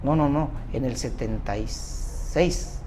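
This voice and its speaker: A man's voice: medium pitch, slow, noisy background. An older man with a Mexican accent, a doctor telling his life story as an interview guest, rambling and correcting himself as he goes. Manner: rambling, self-interrupting recollection. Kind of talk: conversational storytelling.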